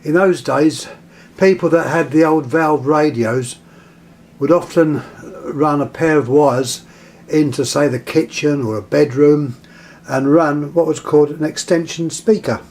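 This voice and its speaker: Slow, halting British man. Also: fairly high pitch, a little mellow. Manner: halting, pausing mid-phrase while handling things. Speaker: vintage radio collector presenting an item. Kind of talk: casual hands-on demonstration. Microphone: handheld camera, close to the item.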